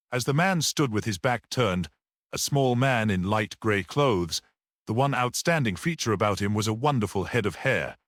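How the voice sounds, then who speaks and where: A man's voice: lowish voice, a bit bright, no room sound, clean studio sound. A man reading a mystery story aloud as an audiobook narrator.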